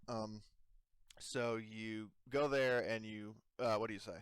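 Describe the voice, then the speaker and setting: A man narrating a tabletop game as game master in a halting, informal way. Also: on the low side, fairly even intonation, clean tone, dry recording.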